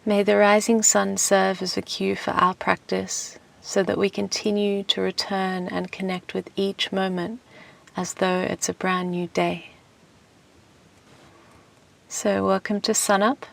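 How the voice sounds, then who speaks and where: A woman leading a guided relaxation meditation in a soft, gentle, soothing voice that turns breathy at times, with unhurried phrases. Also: medium pitch. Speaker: meditation teacher and host. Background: music.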